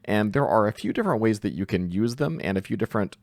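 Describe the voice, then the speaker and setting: A man giving an informal screen-share software tutorial, thinking aloud hesitantly as he goes. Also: fairly low pitch, expressive, fast talker, dry recording. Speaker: tutorial host, coding instructor.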